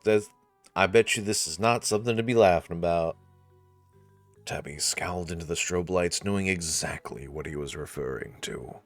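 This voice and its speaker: A man's voice: deep voice, normal speed, faint music behind. A man narrating a visual novel story, slipping in wry, informal asides as commentary.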